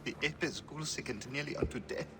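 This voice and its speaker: An actor playing a despairing high priest in a video game, hesitant and sorrowful, with a pleading way of speaking. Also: male voice, fairly quick, natural voice. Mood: weary despair. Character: despairing, troubled male high priest, worried husband. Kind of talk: dramatic video game acting. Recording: clear ambient noise.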